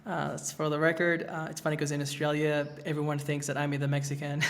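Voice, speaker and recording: A man in his thirties from Venezuela, a podcast guest speaking in a relaxed way, with fillers and restarts. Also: fairly high pitch, fast talker. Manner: relaxed, with fillers and self-corrections. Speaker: guest, NFT project co-creator. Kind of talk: casual podcast interview. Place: Mexico, where he is now.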